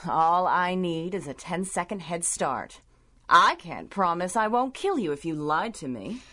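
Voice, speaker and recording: Low, lilting, dangerous villainess. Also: female voice, animated, unhurried, sharp tone, exaggerated voice. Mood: urgent, determined. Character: cool, dangerous female villain, proud rival of the heroine. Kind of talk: 1930s radio adventure serial acting.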